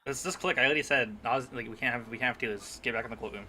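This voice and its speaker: A man's voice: mid-range voice, racing, a crowd in the background. A man bantering casually as one of a group playing and dubbing a game on a livestream.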